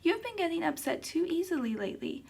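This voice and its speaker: A woman plays a puppet mother with a high voice and a marked creak in it. She is patient yet firm, admonishing and instructing in the manner of a children's puppet show. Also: sing-song, fast talker, a little mellow, full character voice. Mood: gently scolding.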